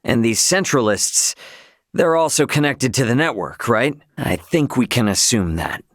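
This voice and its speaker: Brash young starship captain, close dry sound. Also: male voice, mid-range voice, animated, a little slow, no echo. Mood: serious, businesslike. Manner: slangy, blunt, cocky. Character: brash, casual young male starship captain, hot-headed but loyal. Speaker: video game voice actor. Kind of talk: voiced video game character lines.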